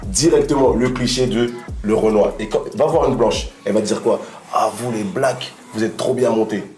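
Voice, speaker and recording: Animated young man arguing. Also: lowish voice, moderate pace, reverberant, soft background music. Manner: animated, argumentative. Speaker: street interviewee.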